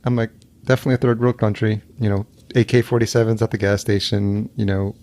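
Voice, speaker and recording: A man's voice: fairly low pitch, little pitch movement, fast talker, a little mellow. Laid-back man hosting a podcast. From El Salvador. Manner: laughs often, loose and rambling. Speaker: podcast host. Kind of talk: casual interview chat. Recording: remote call audio.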